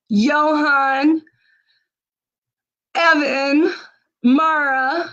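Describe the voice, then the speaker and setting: Woman, livestream host, voice giving out, urgent and exhorting, fairly high-pitched. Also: poor audio. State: losing her voice. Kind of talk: fundraising livestream talk.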